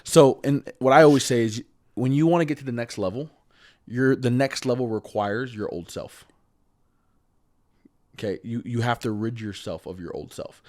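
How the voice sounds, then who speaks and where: A man's voice: mid-range voice, normal speed, a little mellow. A man with a stutter hosting a podcast, speaking in an earnest, motivational way and calling his guest "bro" and "dude.". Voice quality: stutters, swaps hard words on the fly. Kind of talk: casual conversational interview.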